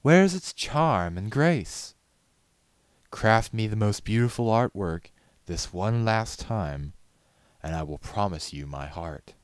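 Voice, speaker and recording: A man's voice: lowish voice, expressive, slow, clean tone, no echo, voice pushed up. A man voicing a haughty, exacting female pony in a storybook reading, with imperious, theatrical delivery. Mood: cool, haughty.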